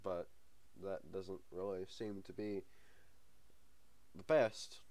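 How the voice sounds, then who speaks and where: Low-voiced young man with a cold. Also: fairly even intonation, slow, a little mellow. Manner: rambling, hesitant, many ums, chuckles to himself. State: has a cold, sniffly. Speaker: gaming channel host. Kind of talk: casual let's-play commentary.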